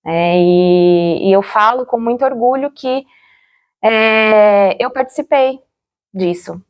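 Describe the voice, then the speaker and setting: A woman with a Brazilian accent and a mid-pitched voice speaks as an interview guest. She recounts things reflectively, pausing to find the right word and adding small tags that seek agreement. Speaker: interviewee, former radio drama actor. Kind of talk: interview, personal recollection.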